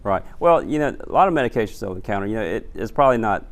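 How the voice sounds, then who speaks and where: A man speaking as a pharmacist guest, earnest and halting, with frequent ums and uhs. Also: fairly low pitch, animated. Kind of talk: guest interview answers.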